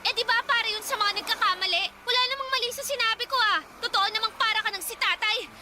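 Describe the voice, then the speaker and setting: A woman's voice: very high voice, fast, background noise. A woman playing a defiant, outspoken younger sister in a Filipino radio drama, nagging and emotional. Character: defiant, outspoken younger sibling, scolding and upset.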